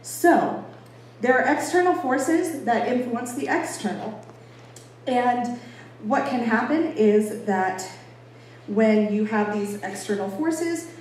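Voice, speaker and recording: A woman's voice: a little high, darkish tone. An American woman from Boston giving an informal public talk in an emphatic, conversational, playfully self-deprecating way. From Boston, United States. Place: echoey indoor venue with an audience. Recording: echoey room, distant mic, faint noise.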